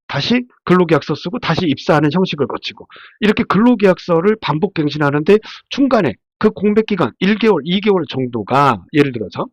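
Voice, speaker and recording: A man's voice: high-pitched, dry recording. A man giving an informal, explanatory slide lecture as a labor attorney, his voice stuffy and nasal from a cold, often asking and answering his own questions. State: congested from a head cold. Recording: dull, muffled lo-fi sound.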